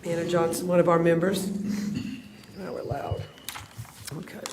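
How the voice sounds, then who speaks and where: A woman's voice: fairly low pitch, level tone, normal speed, background noise. A woman chairing a county commission meeting, speaking formally into a table microphone with some fillers.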